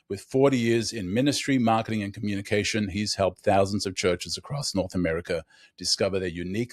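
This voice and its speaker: A man's voice: on the low side, no room sound, studio quality. A man with a faint Australian accent, hosting a podcast with upbeat, enthusiastic chat. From raised in Australia. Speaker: podcast host. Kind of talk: casual interview chat.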